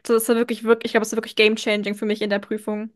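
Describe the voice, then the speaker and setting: A young woman with a somewhat high voice asks questions and chats in an easy, friendly way. She often chimes in with quick words of agreement and keeps the conversation light and casual. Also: completely flat delivery. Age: young adult. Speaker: interviewer, host. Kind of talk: casual interview.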